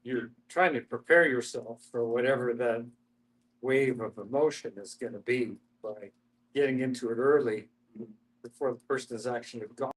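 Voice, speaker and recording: Mid-pitched man explaining casually. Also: normal speed, poor audio. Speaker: discussion participant. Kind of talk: casual explanation in a group discussion.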